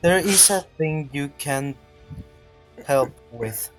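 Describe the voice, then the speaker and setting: A man speaking as game master of a tabletop roleplaying game, with halting, deliberate phrasing. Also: on the high side, animated, faint music behind, low-quality recording. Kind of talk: casual game narration and chat.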